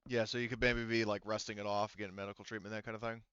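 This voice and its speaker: A man casually running a tabletop roleplaying game as game master, often thinking out loud. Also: on the low side, level tone, rapid-fire, clean tone, dry recording, lo-fi sound.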